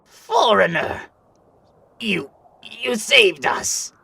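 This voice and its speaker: A man voicing an old, grave grandmaster of an ice nation in an animated fantasy, solemn and melodramatic. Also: sits high, very slow, vocal fry, husky, heavily acted. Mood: fearful. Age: older. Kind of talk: animated fantasy drama acting.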